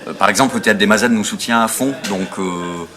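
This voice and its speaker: Theatre guy chatting about his show, hesitant, lowish voice. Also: fast, sharp tone. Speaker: theatre artist. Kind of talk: casual interview.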